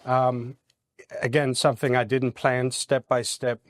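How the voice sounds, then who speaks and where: A man from Germany with a German accent, a guest attorney in a studio interview who talks haltingly with many fillers and restarts. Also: medium pitch, level tone, no room sound.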